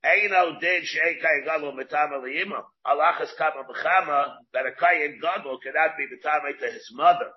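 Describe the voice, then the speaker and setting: Rabbi giving a Talmud class, slightly high voice, muffled lo-fi recording. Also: male voice. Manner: halting, restarts and asks questions aloud, answers students. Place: classroom with students.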